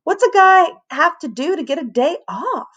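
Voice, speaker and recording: A woman's voice: very high-pitched, lively intonation, normal speed, fairly bright tone, pitched above the performer's own voice. A woman voicing a scheming, sulky fourth-grade boy in a storybook, with a grumbling, dramatic delivery.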